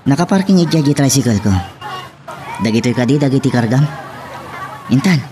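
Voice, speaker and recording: A man's voice: medium pitch, lively intonation, normal speed, crowd noise, noisy background, natural voice. A man playing a helpful, friendly tricycle driver in an Ilocano drama, with an Ilocano accent and a casual, persuasive manner.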